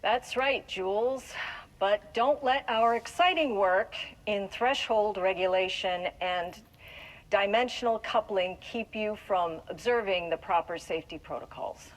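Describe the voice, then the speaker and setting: An actress playing an icy, stiffly polite scientist co-director in a game's corporate welcome video, with clipped delivery. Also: female voice, mid-range voice, a little slow, close to an everyday voice, rough recording. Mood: stiff politeness.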